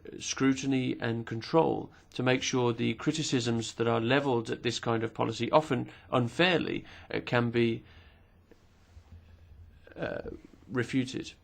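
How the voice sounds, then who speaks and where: A man simultaneously interpreting speeches at a parliamentary committee hearing, formal and measured, pausing with occasional fillers. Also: mid-range voice, fairly even intonation, creaky.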